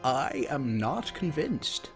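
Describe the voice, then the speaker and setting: A man voicing a mocking, theatrical chaos spirit villain from a cartoon, with taunting, drawn-out words. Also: medium pitch, sing-song, faint music behind. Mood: sly challenge.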